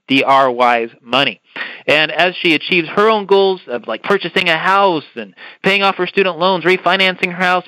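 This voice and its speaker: A man's voice: on the high side, pushed and bright, no echo, poor audio. A man hosting a podcast interview, enthusiastic and given to repeating words as he asks his questions. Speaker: podcast host.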